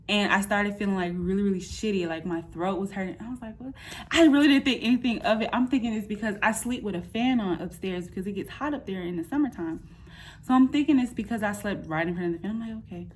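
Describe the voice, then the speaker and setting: A woman of thirty giving a casual personal update, talking in a candid, informal, slangy way. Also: medium pitch. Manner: candid, informal, slangy, animated retelling with quoted asides. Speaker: vlogger. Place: apartment room, indoors.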